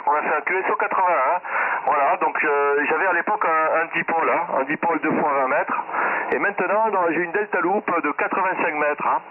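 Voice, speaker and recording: A man's voice: a little high, fairly quick, crowd noise. A man talking casually as an amateur radio operator over a radio transmission, often repeating phrases and tagging them with a questioning filler. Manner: frequent "hein" tags, repeats phrases. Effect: ham radio transmission. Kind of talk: casual ham radio exchange. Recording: noisy, narrow shortwave radio link.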